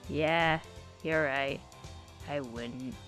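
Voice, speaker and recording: A woman's voice: rumbling low, very slow, a bit bright, soft background music, full character voice. A woman voicing a whiny, dim, emotional teenage boy who rambles over-dramatically in an amateur cartoon dub. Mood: sheepish.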